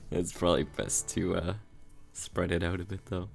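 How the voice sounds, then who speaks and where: A man's voice: low voice, flat delivery, measured pace, gravelly. A man giving casual, laid-back commentary while playing a card game, thinking aloud and chuckling at his own jokes, with game music behind. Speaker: gameplay video creator.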